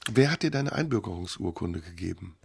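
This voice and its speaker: A man interviewing a guest for a radio show, asking calm, direct questions and offering warm praise. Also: deep voice, a little fast, vocal fry, slightly rough, dry recording, studio quality.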